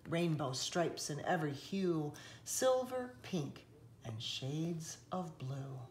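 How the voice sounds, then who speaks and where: A woman reading a picture book aloud expressively, with dramatic hushed passages and emphatic rises, recorded indoors by a camera. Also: sits low, very animated, takes it slowly, noisy background. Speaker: children's author reading aloud. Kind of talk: expressive storybook read-aloud. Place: indoors, seated on a sofa in a room.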